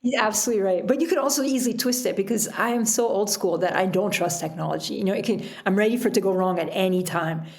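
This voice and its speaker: Female podcast guest, mid-pitched with noticeable vocal fry, chatty and illustrative storyteller. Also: quick pace, hardly any pauses, darkish tone. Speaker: podcast guest, author and sailor. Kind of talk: casual interview talk.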